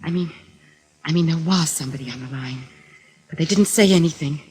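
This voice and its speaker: A woman playing a frightened, insistent daughter with halting, pleading delivery in an old-time radio melodrama. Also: sits low, a little mellow, breathy edge. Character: frightened, insistent young daughter of dead man. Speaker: actress in radio drama. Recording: vintage radio broadcast recording.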